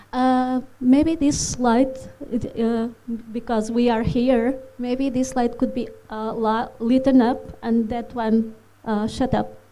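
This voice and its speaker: Slow, hesitant woman from Portugal. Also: on the high side, mellow, slight background noise, rough recording. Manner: hesitant, many fillers, non-native phrasing. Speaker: animation filmmaker giving a masterclass. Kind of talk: presentation to a live audience. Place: festival hall.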